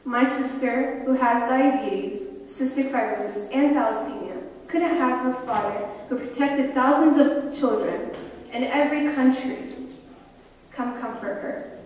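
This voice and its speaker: A woman's voice: sits high, unhurried, a little mellow, slight background noise. A young American woman giving an impassioned prepared speech at a university event, with pointed rhetorical questions. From United States. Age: young adult. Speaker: student speaker. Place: university hall. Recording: echoey hall from far off.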